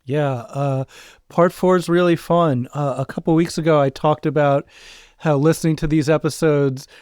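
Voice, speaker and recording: Clear, higher-pitched man chatting on a podcast. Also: steady tone, normal speed, darkish tone, no echo, studio quality. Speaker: co-host, audio drama producer and voice actor. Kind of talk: casual podcast chat.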